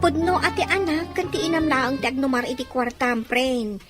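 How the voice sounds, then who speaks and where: A woman's voice: high-pitched, fairly even intonation, normal speed, music in the background, natural voice. A woman playing a shrewd overseas worker giving advice in an Ilocano audio drama. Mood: advising, reproachful. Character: shrewd woman working abroad, advising a friend about money. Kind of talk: Ilocano radio-style drama acting.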